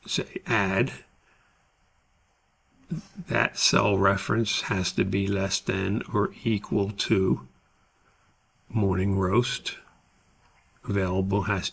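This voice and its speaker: A man giving an unscripted, step-by-step tutorial as an instructor, explaining in a halting, methodical way. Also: lowish voice, level tone, vocal fry, fairly bright tone, husky. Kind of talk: screen-recorded spreadsheet tutorial, unscripted explanation.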